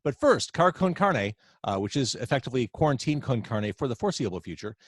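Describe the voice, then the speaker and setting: A man's voice: mid-range voice, brisk, dry recording, studio quality. A man hosting a casual video chat podcast from home, with a jokey, playful manner. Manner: lively, jokey. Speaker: podcast host. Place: at home in quarantine. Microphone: video call.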